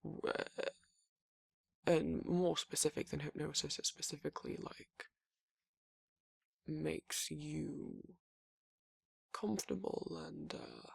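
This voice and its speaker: A man performs a gruff but easygoing werewolf boyfriend for an ASMR audio drama. His voice sits a little high for a man, yet it is husky and thick with creak. He rambles casually, stopping and restarting as he explains things. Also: fairly even intonation, no room sound, studio quality, exaggerated voice. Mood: musing, rambling. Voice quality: gravelly, husky. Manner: halting, rambling, casual swearing. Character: gruff, laid-back male werewolf boyfriend explaining monster lore. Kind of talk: intimate ASMR roleplay monologue.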